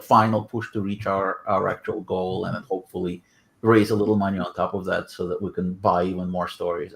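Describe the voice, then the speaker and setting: Low-pitched, gravelly man on a call. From Odessa, Ukraine. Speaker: writer, translator and anthology editor. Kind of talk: casual project introduction on a video call. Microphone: video call microphone.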